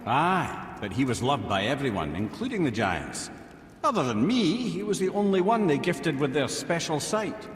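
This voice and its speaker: An actor playing a wry, learned older storyteller in a video game, with a Scottish accent and eloquent, theatrical, old-fashioned speech. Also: male voice, on the high side, sing-song, moderate pace, husky, full character voice. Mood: light, musing. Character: wry, learned talking head, older male storyteller advisor.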